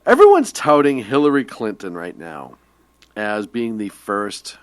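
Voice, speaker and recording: Animated, teasing male radio co-host. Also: fairly low pitch, unhurried, no room sound. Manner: teasing, laughs often. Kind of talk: joking political banter.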